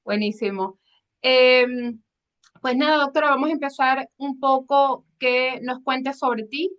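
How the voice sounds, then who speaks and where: Higher-voiced woman host on lo-fi audio. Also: fairly even intonation, slow pace, fairly bright tone. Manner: friendly, hesitant questions full of "eh". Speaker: podcast host. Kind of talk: hosted interview. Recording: dull lo-fi sound.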